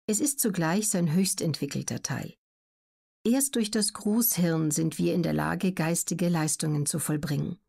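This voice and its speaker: A woman reading scripted documentary audiobook narration in a measured, factual manner. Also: lowish voice, a little mellow.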